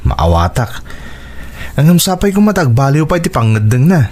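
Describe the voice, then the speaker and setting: A man's voice: mid-range voice, sing-song, moderate pace, a little mellow. A man with a Filipino accent acting a supportive, encouraging older cousin in an Ilocano radio drama, speaking reassuringly.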